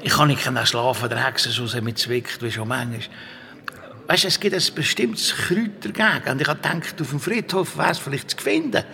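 A man's voice: medium pitch, normal speed, vocal fry, bright, forceful tone. A man with a Swiss German accent playing a secretive, teasing monk and herbalist in a children's audio drama.

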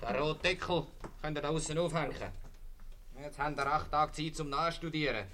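A man's voice: on the high side, fairly even intonation, a little fast, pushed and bright, slight background noise. A man playing a folksy, wry and garrulous court bailiff with a Swiss German accent in a 1950s radio comedy. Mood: bossy, jovial.

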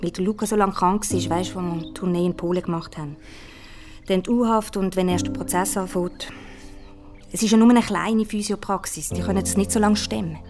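A woman's voice: mid-range voice, steady tone, a little fast, lightly acted. An actress playing a harried, stoical mother and violinist in a radio drama, speaking in a colloquial, matter-of-fact way. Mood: worried, matter-of-fact. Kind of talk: naturalistic radio drama acting. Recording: music behind the voice.

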